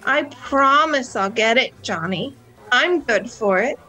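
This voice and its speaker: A woman's voice: on the high side, lively intonation, slow, sharp tone, full character voice. A woman playing an anxious, scheming young nightclub worker in an amateur radio play, hesitant and melodramatic. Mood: coaxing, furtive.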